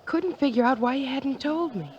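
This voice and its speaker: An actress playing a tender, adoring sweetheart with a soft, intimate delivery in an old-time radio drama. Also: female voice, high-pitched, moderate pace, muffled recording, natural voice. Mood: tender, regretful.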